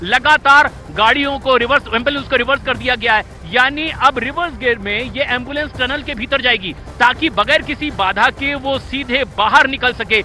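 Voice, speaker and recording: High, forceful male breaking-news anchor. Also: normal speed, hardly any pauses, soft background music, low-quality recording. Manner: urgent, emphatic, repeats phrases. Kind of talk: live breaking news commentary.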